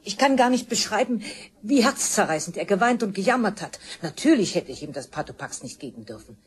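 A woman's voice: mid-range voice, big pitch swings, fairly quick, husky. An actress playing an imperious, stubborn older mother in a crime radio play, with a firm, cutting, formal way of talking. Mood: indignant, accusing.

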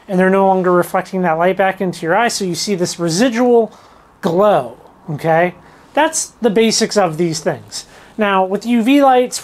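A man in his thirties gives an informal, self-deprecating explainer as a channel host, talking to camera. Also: high voice. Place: cluttered indoor workshop. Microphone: lavalier clipped on shirt.

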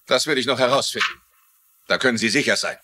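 An actor playing a brisk, officious and self-important police lieutenant in a dubbed TV mystery. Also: male voice, mid-range voice, pushed and bright, slight background noise, natural voice. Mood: interrogating. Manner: officious, insistent.

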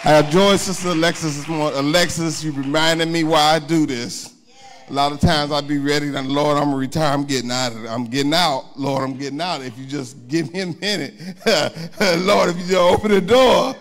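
A middle-aged man preaches in a church, talking to the congregation. His voice sits a little higher than most men's. He speaks into a handheld microphone and keeps turning to the congregation with asides. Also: brisk, fairly bright tone. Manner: conversational preaching with call-and-response asides. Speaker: pastor. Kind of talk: sermon. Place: church sanctuary, indoors.

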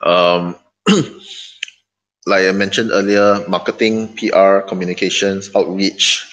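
A middle-aged man from Singapore speaks in a low-pitched voice as a webinar presenter. He explains things informally and in measured steps, often hesitating and pausing between phrases. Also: steady tone, a bit bright, low-quality recording. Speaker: webinar speaker, crypto advisor. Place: indoor office room at night, by large windows. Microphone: gaming headset.

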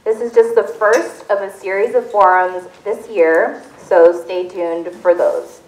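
A woman in her forties whose first language is Tagalog, moderating a community panel on a handheld mic and reading measured remarks from notes. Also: medium pitch, far from the mic. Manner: clear, measured, reads from notes. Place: community center hall with audience. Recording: echoey hall, voice a bit off-mic.

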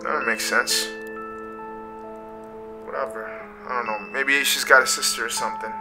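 A man's voice: medium pitch, fairly even intonation, moderate pace, loud music behind the voice, close to an everyday voice. An actor playing a wary young man who narrates in first person, hesitant and full of ums, haunted by nightmares. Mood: uneasy. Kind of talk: audio drama narration.

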